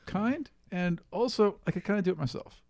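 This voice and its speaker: Man playing a young female student, tabletop game character, raised pitch, self-assured, polite, understated. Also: animated, a little fast, vocal fry, darkish tone, no echo, studio quality. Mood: polite, confident.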